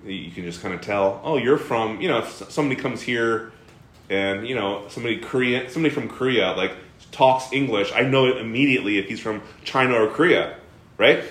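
A man teaches a Bible class in an echoey room, his voice sitting at an ordinary middle pitch. He talks animatedly, stops often to toss questions to the group, and stumbles through fillers and restarts. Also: fairly quick, a bit bright. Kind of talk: interactive bible study teaching.

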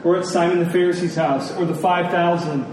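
A man preaching a sermon in an earnest, emphatic manner, repeating key phrases with deliberate pauses. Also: high-pitched, fairly even intonation, a little mellow. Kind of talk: sermon to a congregation. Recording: very echoey room, distant mic, faint noise.

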